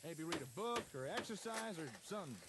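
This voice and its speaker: Actor as easygoing high-voiced settlement builder. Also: male voice, lively intonation, a little fast, very noisy. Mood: easygoing, practical. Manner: casual, folksy. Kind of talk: video game character dialogue.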